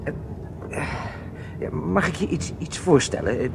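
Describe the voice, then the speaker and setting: An actor playing a polite, slightly hesitant gentleman traveller in a radio drama. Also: fairly high pitch, lively intonation, measured pace. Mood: hesitant, inviting. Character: courteous, hesitant gentleman traveller making acquaintance, secretly an agent. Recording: radio play with clear background noise. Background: train ambience.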